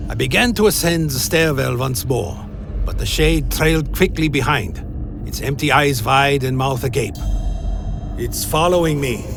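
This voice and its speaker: A man playing a haunted, wary adventurer who tells his own dark fantasy tale in a radio play, in solemn literary storytelling. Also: mid-range voice, moderate pace, gravelly, natural voice. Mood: questioning, uneasy. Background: music.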